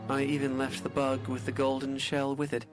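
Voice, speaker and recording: A smug, scheming villain from an adventure game, acted by a man. He speaks in a medium register with suave, theatrical control, while music plays clearly behind him. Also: mid-range voice, completely flat delivery, a little fast, lightly acted. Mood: gloating. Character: smug, scheming masked villain after treasure. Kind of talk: video game character acting.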